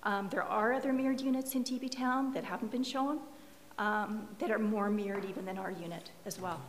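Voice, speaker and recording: A woman's voice: mid-range voice, steady tone, brisk. A woman presenting to a planning commission, explaining her project earnestly while losing her voice. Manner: earnest, explanatory, a little hoarse. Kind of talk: formal public meeting presentation. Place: council chamber. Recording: reverberant meeting room, faint hiss.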